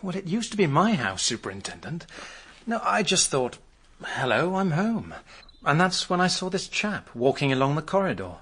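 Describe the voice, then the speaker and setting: A man's voice: on the high side, big pitch swings, moderate pace, sharp tone, slight background noise. An actor playing a vague, easygoing British village drunk in a radio drama, rambling hesitantly as he recalls things. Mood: vague, matter-of-fact.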